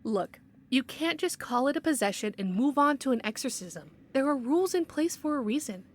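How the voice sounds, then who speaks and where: Stern female supervisor acted by a woman, high-pitched, insistent, by-the-book, audio roleplay. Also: animated, fairly quick. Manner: firm, officious, insistent. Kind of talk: audio drama acting.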